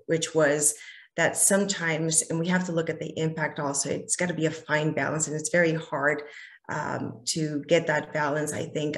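A middle-aged woman from California, a state senator, answering earnestly on a video call from a home office with many fillers and restarts. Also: sits low. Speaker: state senator, interview guest. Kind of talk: candid answers in a virtual town hall.

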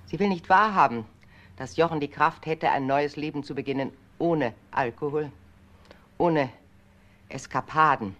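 A woman's voice: low voice, unhurried, fairly bright tone, dull audio. An actress playing a stern, bitter older mother in a German crime radio drama, speaking in a commanding, cutting way. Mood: cold, bitter. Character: stern, bitter elderly mother of a business family.

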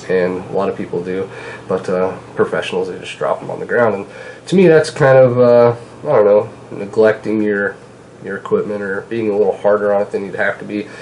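A man in his thirties with a stuffed-up nose from a cold, hosting a casual gun-gear vlog from his kitchen table, chatty and relaxed with many fillers. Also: lowish voice, a little hiss or hum, low-quality recording. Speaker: gun channel host. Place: home kitchen, indoors.

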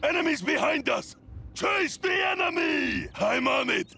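An actor playing a boisterous, bloodthirsty oni demon warrior for a video game, with a raspy, theatrical, menacing delivery. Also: male voice, extremely high pitch, lively intonation, measured pace, pushed and bright, shouting, heavily acted. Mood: urgent commands. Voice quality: raspy, gravelly. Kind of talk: video game character voice lines.

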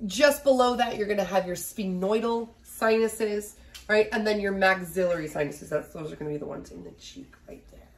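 A woman's voice: mid-range voice, moderate pace. Woman in her thirties, biology lecture, chatty, slightly nasal from a cold, home office, desk camera. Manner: chatty, asks "right?" often, fillers. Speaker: biology instructor. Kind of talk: casual recorded lecture. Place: small home office, indoors.

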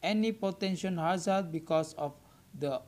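A young man with an Indonesian accent reads a scripted student presentation aloud, halting word by word. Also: high-pitched, a little mellow. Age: young adult.

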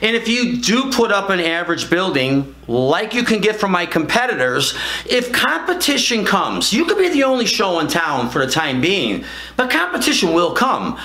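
An older man giving an emphatic, salesy pitch straight to camera in a studio, gesturing as he talks. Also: very animated, normal speed, pushed and bright, reverberant. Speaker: franchise company founder. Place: indoor studio with plain gray backdrop.

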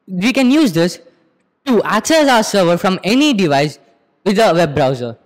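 Indian teen boy doing a formal school presentation, high voice, very echoey room. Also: sing-song, normal speed. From Andhra Pradesh, India. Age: teens, about 15. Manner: formal, explanatory. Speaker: student presenter. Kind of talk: rehearsed school project presentation. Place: school computer lab, indoors. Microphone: handheld microphone. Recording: echoey room, long reverb.

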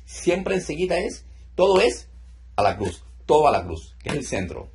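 A middle-aged man leading a deliverance session over a video call, giving calm commands and explaining each step. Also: a little high, normal speed, a little hiss or hum. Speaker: deliverance minister. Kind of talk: ministry session with teaching asides. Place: indoor room.

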